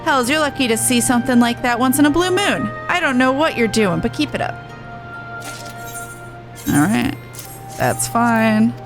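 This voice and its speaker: A woman's voice: fairly high pitch, expressive, moderate pace, long pauses, rough recording. A woman game streamer reading dialogue aloud with chatty reactions, stumbling and correcting herself as she goes. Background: video game music.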